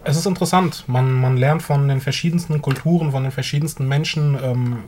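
A man's voice: mid-range voice, steady tone, fairly quick, a little mellow. Casual man from Frankfurt, faint background noise. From Frankfurt, Germany. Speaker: tax adviser, interviewee. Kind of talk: casual spontaneous interview talk.

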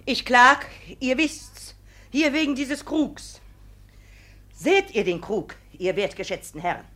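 A stubborn village widow in a classical stage-style radio play. She is high-pitched and indignant, laying out her complaint with plaintive, long-winded emphasis. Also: very animated, slow, a bit bright, heavily acted. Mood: plaintive, insistent. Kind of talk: classical verse radio drama.

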